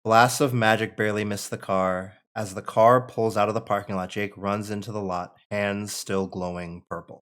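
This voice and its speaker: A man's voice: lowish voice, fairly even intonation, normal speed, few pauses, fairly bright tone. A man reading out the stage directions and narration at a screenplay table read.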